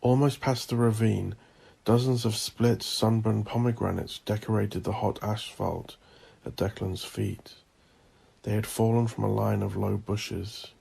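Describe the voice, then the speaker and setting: A man with a low, raspy voice narrates dark horror fiction. His delivery is ominous and theatrical, with deliberate phrasing and a heavy creak in the tone. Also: steady tone. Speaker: audio drama narrator and host.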